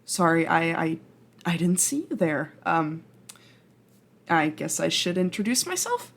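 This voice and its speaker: A woman playing a flustered, awkward, chatty time traveler from the future in an ASMR roleplay, halting and rambling as she speaks to the listener. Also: low voice, very animated, vocal fry, exaggerated voice. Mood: awkward, sheepish.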